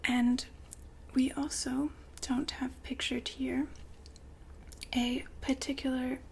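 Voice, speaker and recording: Casting: actress for a polite sci-fi shop consultant in ASMR roleplay, high female voice, whispered, slow and soothing. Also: level tone, vocal fry, slight background noise, exaggerated voice. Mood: calm, attentive. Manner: polite, unhurried, softly whispered customer-service talk. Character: courteous, soothing saleswoman at a futuristic fembot-building shop.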